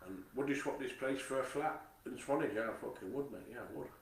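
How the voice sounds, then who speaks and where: A man's voice: mid-range voice, fast, roomy sound, far from the mic, rough recording. A man with a Nottingham accent, rambling in a monologue to camera, swearing constantly, repeating himself and stumbling over his words. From England. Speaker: vlogger.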